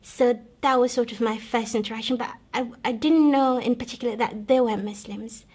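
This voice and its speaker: A young woman from the Democratic Republic of Congo telling her own life story in an earnest, measured way, pausing and restarting often. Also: fairly high pitch, steady tone, darkish tone. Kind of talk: personal testimony, recalled storytelling.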